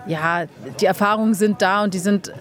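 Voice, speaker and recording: A woman's voice: medium pitch, steady tone, moderate pace. A young woman, a bobsleigh pilot, answering interview questions frankly and casually, with many fillers. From Germany.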